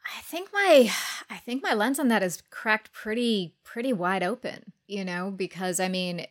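A woman's voice: medium pitch, animated, unhurried. An American woman from New Jersey telling personal anecdotes in a casual, conversational panel discussion. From suburban New Jersey. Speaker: podcast panelist.

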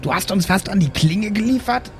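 Male tabletop player, slightly high voice, casual role-play, questioning, challenging, faint music behind. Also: brisk.

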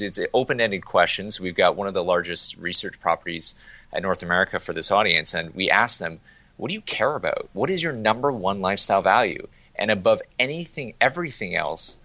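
A young man from Toronto, an agency president, talking casually and quickly in an interview, often restarting his sentences. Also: low voice, sharp tone, no room sound. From Toronto, Canada. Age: young adult. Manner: quick, chatty, many restarts. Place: remote interview, Toronto office.

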